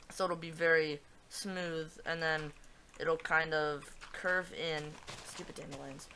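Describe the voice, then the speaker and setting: A man's voice: on the high side, sharp tone, slight background noise. A man hosting a casual gaming video, thinking aloud in a loose, rambling way as he talks over his own footage. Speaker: gaming channel host. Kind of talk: casual gameplay commentary.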